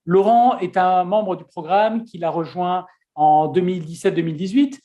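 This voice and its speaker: A man's voice: high-pitched, moderate pace, phone-quality sound. A man hosting a casual interview, chatty, with many fillers and restarts. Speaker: host.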